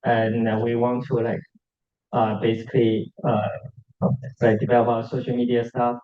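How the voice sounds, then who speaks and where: Founder guy doing a quick pitch at a meetup, lots of uh and like, kinda dull muffled audio. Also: monotone. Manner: hesitant, full of uh and like fillers. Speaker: startup founder making an announcement. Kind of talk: casual spontaneous announcement.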